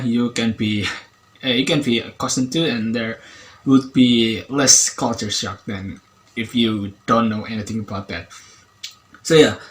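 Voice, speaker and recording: A man with an Indonesian accent giving a casual review, speaking haltingly and often rephrasing as he searches for words. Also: mid-range voice. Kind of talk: casual app review to camera.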